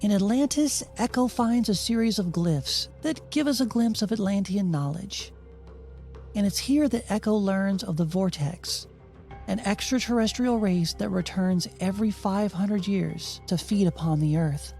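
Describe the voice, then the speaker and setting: A woman's voice: mid-range voice, measured pace, warm tone. A woman reading scripted narration in an audiobook style, with wry, dryly sarcastic asides. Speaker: host and narrator of a video game retrospective. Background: music under the voice.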